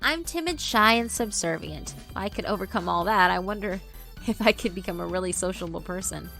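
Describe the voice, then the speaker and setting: A woman's voice: mid-range voice, lively intonation, brisk, gravelly, pushed and bright, faint music behind. A woman voicing a timid, well-meaning girl who muses to herself hesitantly in a visual novel. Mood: fretful musing.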